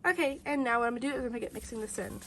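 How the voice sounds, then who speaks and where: A woman's voice: fairly high pitch, rapid-fire. A young woman with a head cold, hosting a casual slime and toy tutorial from her bedroom in a bubbly, upbeat way. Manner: bubbly, upbeat, repeats "you guys". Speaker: toy and slime channel host. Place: bedroom, indoors. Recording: loud air conditioner hum. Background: air conditioner noise.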